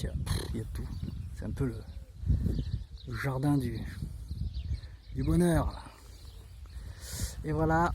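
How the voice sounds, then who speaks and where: A middle-aged man with a southern French accent from the Var talks easily and chattily, voice sitting at an ordinary mid pitch. He is filming himself outdoors in the countryside on a handheld camera. Faint birdsong and rural ambience drift in behind him. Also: a little hiss or hum. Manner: chatty, relaxed, frequent fillers. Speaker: vlogger. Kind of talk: casual walk-through tour.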